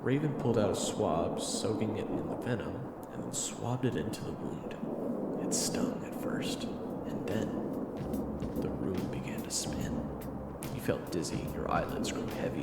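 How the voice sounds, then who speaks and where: A man giving theatrical, scripted second-person narration for an audio drama, often hushed. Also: fairly low pitch. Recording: roomy sound under loud music. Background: music.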